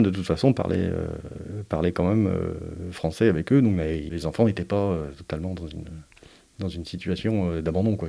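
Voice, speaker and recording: A man giving an interview as a parent, speaking in a hesitant, thoughtful way with frequent pauses and fillers. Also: sits low, creaky, warm tone. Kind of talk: reflective interview testimony.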